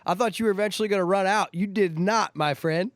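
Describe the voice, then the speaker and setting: A man's voice: high voice, quick pace, dry recording, studio quality. A man speaking as a show host in casual, chatty conversation. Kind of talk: casual chat.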